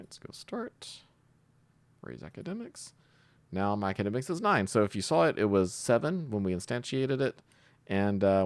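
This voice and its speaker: Casting: male tutorial host, very low and creaky voice, informal, thinks out loud, close dry sound. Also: no room sound. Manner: thinking aloud, hesitant pauses. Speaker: tutorial host, programming instructor. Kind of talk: casual screen-share coding tutorial, improvised.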